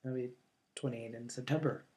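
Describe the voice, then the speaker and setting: A man in his late twenties speaks in a relaxed, easygoing way. He co-hosts a casual chat at a middle pitch and often stops on fillers like "um" and "you know.". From northeastern Ohio. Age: 20s. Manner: relaxed, many fillers and pauses. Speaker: co-host.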